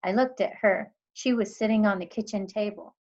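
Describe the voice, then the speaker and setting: A woman teacher reading a children's storybook aloud in expressive narration. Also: mid-range voice, normal speed, muffled recording.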